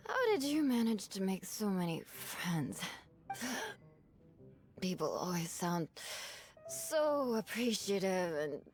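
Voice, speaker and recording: Slow, tipsy, proud lady knight. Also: medium pitch. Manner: halting, sighing, hesitant. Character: proud, guarded young noblewoman knight, tipsy and vulnerable. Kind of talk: video game voice acting.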